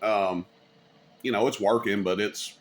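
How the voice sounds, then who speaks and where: A man's voice: lowish voice, fairly even intonation, a little slow. A man giving a casual, folksy, rambling hands-on demonstration as a hobbyist video host. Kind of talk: casual hands-on product demo. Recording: clear background noise.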